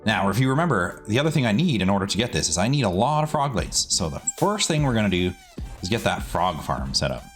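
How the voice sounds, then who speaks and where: A low-pitched man gives casual commentary on a game build as the host. His delivery swings up and down in pitch, and he repeats words for emphasis. Faint music plays behind him. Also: very animated, fast. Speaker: gaming video creator and host.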